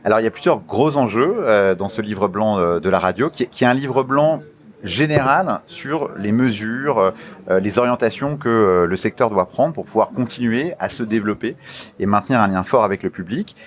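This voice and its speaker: A man with a fairly low voice gives formal, explanatory interview answers. He speaks like an official, in measured phrases broken by hesitant pauses. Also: animated. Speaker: interview guest, media regulation official.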